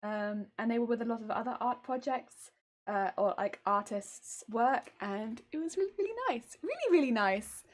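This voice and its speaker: Young English woman, a touch of Welsh in her accent, a slightly high, giggly streamer voice, rambly and relaxed. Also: normal speed. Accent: English, slightly tinged with Welsh. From England. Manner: chatty, giggly, rambling with fillers. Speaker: cosplay streamer. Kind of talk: casual livestream chat while crafting.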